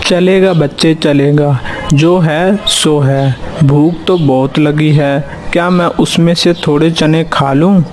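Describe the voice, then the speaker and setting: A kindly, hungry old-fashioned uncle crow from a children's cartoon, played by a man. The voice is fairly high for a male and moves slowly in a folksy drawl. Clear noise sits behind it. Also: fairly even intonation.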